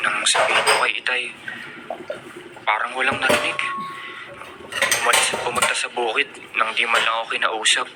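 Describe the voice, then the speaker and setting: A man's voice: mid-range voice, little pitch movement, moderate pace, pauses often, lightly acted. A young man playing an aggrieved but polite student son in a Filipino radio drama, earnest and plaintive. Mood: troubled, shy. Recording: very noisy radio drama recording.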